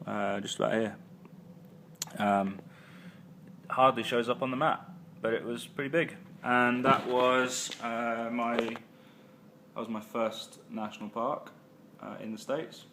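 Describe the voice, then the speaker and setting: A man's voice: medium pitch, a bit bright, slight background noise. A man casually recapping his travels for a vlog, full of ums and uhs and self-corrections. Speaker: travel vlogger. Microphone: phone or handheld camera.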